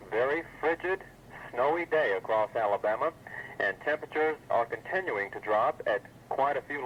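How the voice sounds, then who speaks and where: A man reading a scripted weather forecast as an announcer on an old weather radio broadcast. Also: mid-range voice. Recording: muffled, noisy 1980s weather radio broadcast.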